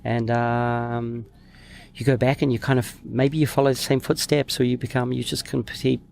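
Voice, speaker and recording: Podcast guest: middle-aged man from New Zealand, gravelly voice, relaxed storyteller who trails off with fillers. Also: mid-range voice, moderate pace. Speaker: guest, former pro snowboarder. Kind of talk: casual interview chat.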